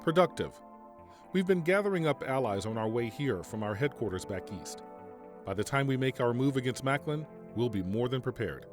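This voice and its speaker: An actor playing a calm, formal mercenary general in an audio drama, speaking in a measured way. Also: male voice, medium pitch, over music, lightly acted. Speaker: military general.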